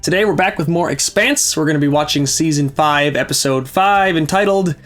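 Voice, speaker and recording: A man's voice: fairly high pitch, fairly quick, fairly bright tone. Scratchy-voiced man recapping a show. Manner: chatty, explains plot at length. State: scratchy voice from a cold. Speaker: reaction show host. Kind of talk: casual recap chat.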